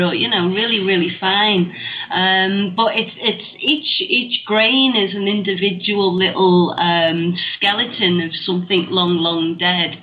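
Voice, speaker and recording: A woman with a Scouse accent hosting a casual internet radio chat. She is chatty and laughs often between her points. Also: mid-range voice. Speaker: radio show host. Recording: muffled online radio audio with faint hiss.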